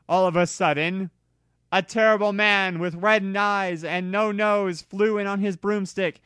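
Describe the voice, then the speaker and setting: A man reading a story aloud in a theatrical, deliberate way, as an amateur dramatic narration. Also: high-pitched, a bit bright, smooth, no room sound, studio quality.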